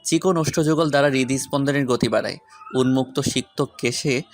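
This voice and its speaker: A man's voice: mid-range voice, steady tone, brisk, soft background music, close to an everyday voice. A man playing a stern, brooding young romantic lead in an audio story. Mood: smitten, poetic.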